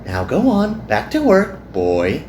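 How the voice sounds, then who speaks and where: A man's voice: sits high, wildly swooping pitch, slow, darkish tone, voice pushed up, heavily acted. Guy doing a flirty bossy anime magical woman, drawls her taunts, condescending, echoey with some noise behind. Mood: commanding, teasing. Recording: roomy echo, some background noise.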